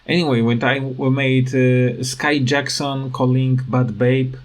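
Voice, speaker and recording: A man delivers a casual, complaining commentary over game footage. His pace is slow and his pitch sits in an ordinary middle range. The phrasing is halting and non-native, as he searches for how to put each point. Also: level tone. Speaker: gaming video creator.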